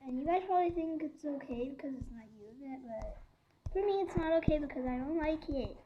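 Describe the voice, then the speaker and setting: A young woman with a sore, hoarse throat from coughing, talking to her viewers in a halting, apologetic way with pauses and fillers. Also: sits high, animated, lo-fi sound, slight background noise. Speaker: vlogger. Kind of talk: casual vlog talk to viewers.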